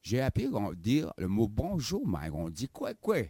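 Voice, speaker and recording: A man's voice: lowish voice, wildly swooping pitch, unhurried, mellow, breathy edge, no echo, clean studio sound, full character voice. A man playing a silly, boastful African visitor to Canada in a comedy interview sketch. He keeps calling the host by name and tacks filler tags onto his phrases. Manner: giggly, playful bragging.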